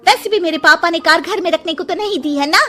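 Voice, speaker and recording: A woman's voice: very high-pitched, fast, bright, forceful tone, faint music behind. A woman voicing a curt, arrogant young daughter-in-law in an audio story, snappy and dismissive. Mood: irritated, dismissive.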